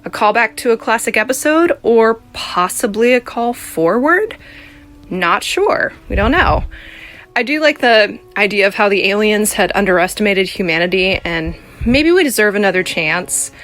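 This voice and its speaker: A woman's voice: mid-range voice, a bit bright. Earnest woman commenting over music. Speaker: podcast listener giving feedback. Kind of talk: spoken listener commentary.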